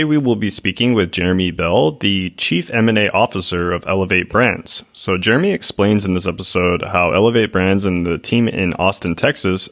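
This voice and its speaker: A man co-hosting a business podcast, briskly reading a prepared episode intro. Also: lowish voice, fairly bright tone. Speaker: podcast co-host.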